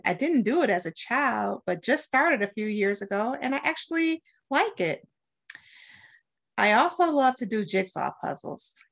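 A middle-aged American woman from Detroit, a school principal, doing an expressive storytime read-aloud with deliberate phrasing. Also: medium pitch, creaky. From Detroit, United States. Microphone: webcam at about arm's length.

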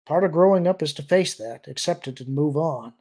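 A man's voice: fairly high pitch, fairly quick, a little mellow. A man voicing a wry, pragmatic woman adventurer in an audiobook, dry and matter-of-fact.

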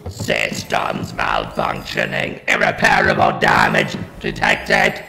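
A man's voice: rumbling low, huge pitch swings, takes it slowly, gravelly, bright, forceful tone, big-room sound, soft background music, heavily acted. A man voicing a hostile alien battle machine, barking harsh staccato commands through a grating robotic filter. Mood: failing, alarmed. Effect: robotic ring-modulator filter.

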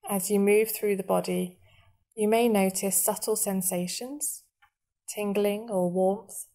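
A woman guiding a meditation in a soothing, measured manner, leaving long pauses between short phrases. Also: mid-range voice, steady tone, warm tone. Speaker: meditation guide. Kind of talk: guided body scan meditation narration.

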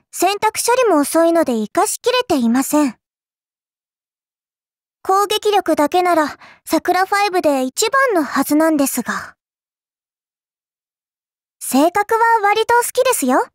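A small girl AI servant from an anime mobile game, with a very high, childlike pitch. She speaks in sweet, polite phrases with a prim, smug, appraising edge. The recording is dry, with no room sound. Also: no room sound.

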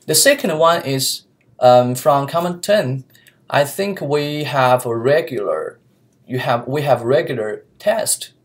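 A young man from China with a Chinese accent, answering interview questions earnestly in his second language, often pausing with fillers and restarting sentences, recorded on a laptop webcam in a small office. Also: medium pitch. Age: young adult. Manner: earnest, searching for words, frequent fillers and restarts. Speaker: student interviewee. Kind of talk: mock job interview. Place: small office room with whiteboard, indoors. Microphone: laptop webcam mic.

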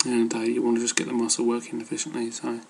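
A man talks off the cuff like an amateur fitness vlogger. His voice is gravelly and stays in a narrow, monotone range. He hesitates and rambles loosely over slight ambient noise. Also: medium pitch, fast talker. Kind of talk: casual rambling advice talk.

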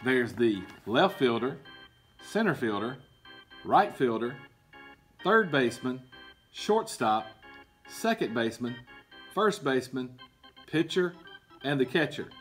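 A middle-aged man reading a picture book aloud to children as a coach, explaining in a deliberate, emphatic, instructive way. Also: mid-range voice, very animated, faint music behind. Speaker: baseball coach and PE teacher. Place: indoor school room with book shelves.

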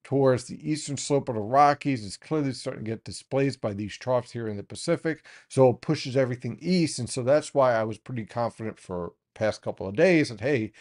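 A man in his thirties, a weather forecaster, giving a steady explanatory briefing over weather maps from a small home studio. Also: medium pitch. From United States. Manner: steady explanatory tone, pointing things out on charts.

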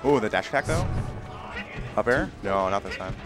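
Loud, excitable male esports commentator. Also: fairly low pitch, moderate pace, over music. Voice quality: naturally loud voice. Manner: excitable, exclaims over big plays. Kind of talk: live play-by-play commentary. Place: tournament venue.

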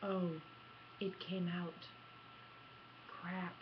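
A young adult woman chatting casually to camera as a gaming vlogger, with an animated, self-deprecating manner and dramatic emphasis. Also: lowish voice, extremely slow. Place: small room at home, indoors. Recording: muffled lo-fi webcam audio, background noise.

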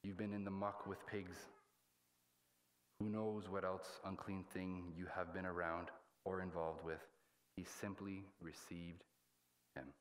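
A man in his thirties preaching a sermon as a pastor, in a halting, plain-spoken, colloquial way. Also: low-pitched, level tone. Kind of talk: sermon with scripture reading. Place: indoors at a church pulpit.

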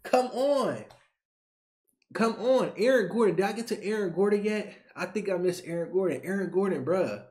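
A man in his twenties, a YouTube creator, talking to camera in an animated, slangy rant, repeating himself, from a small bedroom on a webcam mic. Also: high-pitched, normal speed. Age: 20s. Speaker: YouTube sports reaction creator. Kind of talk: excited casual rant to camera.

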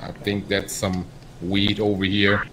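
A man's voice: fairly low pitch, monotone, a little slow, a bit bright, a little hiss or hum, rough recording. A man chatting casually in character as a police officer in an online role-play game.

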